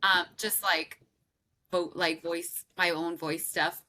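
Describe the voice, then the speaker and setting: A woman in her thirties from Southern California, a singer guest chatting casually in an interview, animated and laughing often, with a loud, strong voice. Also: lowish voice, takes it slowly.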